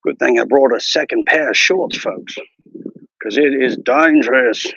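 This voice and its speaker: A man playing a bumbling, boastful wilderness ranger who hosts a mock nature show, talking in a mock Australian accent with hushed, mock-serious narration and a husky voice. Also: medium pitch, unhurried, no echo, dull audio, heavily acted. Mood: hushed bravado. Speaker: mock wildlife show host. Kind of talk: improvised parody nature documentary.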